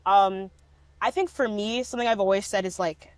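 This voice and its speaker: A woman's voice: medium pitch, moderate pace, clear, resonant tone, dry recording. A young woman in a casual interview, often laughing and filling her speech with "like". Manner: laughing often, many "like" fillers. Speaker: documentary subject, speech competitor.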